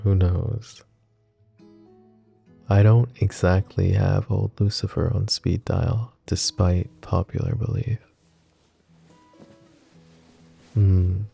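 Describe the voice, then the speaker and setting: Man as a very deep, flirty mafia boss. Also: full character voice. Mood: wry, affectionate. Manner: soft teasing banter with breathy chuckles. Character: flirty, possessive male mafia boss, smitten and vulnerable. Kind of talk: intimate ASMR roleplay acting.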